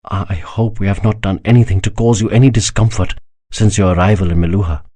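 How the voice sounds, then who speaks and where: A man's voice: lowish voice, fairly even intonation, a little fast, dark tone, close to an everyday voice. A man reading a proud, devout emperor who speaks with solemn courtesy and rising passion. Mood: courteous. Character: proud emperor, older man, fervent believer.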